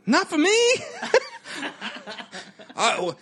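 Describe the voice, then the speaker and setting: A man in his forties who grew up in Queens, telling profane, animated stories in a casual chat and laughing often. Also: extremely high pitch, extremely slow, a crowd in the background. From Jamaica, Queens, New York.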